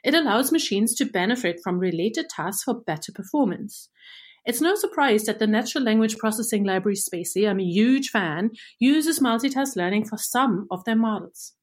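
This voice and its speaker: A research engineer from Germany, a native German speaker, explaining machine learning in a clear, measured scripted segment. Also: female voice, mid-range voice, animated.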